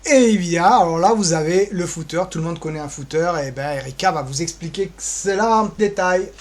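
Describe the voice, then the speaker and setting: A man's voice: fairly high pitch, fairly quick. Rambling, playful man presenting. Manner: rambling, hesitant, playful asides. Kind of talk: informal screen-scrolling website walkthrough.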